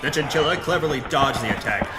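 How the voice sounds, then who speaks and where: A man's voice: fairly high pitch, expressive, quick pace, big-room sound, a loud crowd, heavy background noise, natural voice. A man playing a hardboiled, melodramatic pulp detective who narrates his adventure in a noir parody audio drama. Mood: dramatic.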